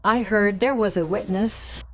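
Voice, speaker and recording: An actress playing a troubled, inquisitive woman in a crime radio play, with a husky voice. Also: medium pitch, measured pace, no room sound, lightly acted. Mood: probing. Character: troubled, inquisitive woman quietly investigating an accident. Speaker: voice actor in a crime audio drama.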